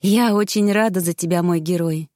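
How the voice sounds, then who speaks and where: A woman voicing a playful young wife in an audiobook, coy and theatrical, quick to take offense. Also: fairly low pitch, no echo, studio quality, natural voice. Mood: playful teasing. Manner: theatrical, coy, sharp when crossed.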